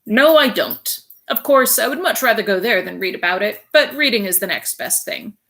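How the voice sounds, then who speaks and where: A woman reading aloud, voicing a shy, dreamy, bookish middle-aged man in an eager, gentle way. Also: mid-range voice, expressive, normal speed, vocal fry. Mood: earnest.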